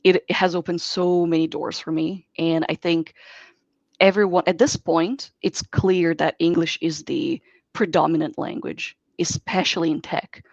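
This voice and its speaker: A woman from Brazil, a native Portuguese speaker, speaking as a software engineer guest in an online interview and giving earnest, expansive advice. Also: sits low, fairly even intonation, measured pace, creaky, no echo. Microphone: video call. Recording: dull dry video call audio.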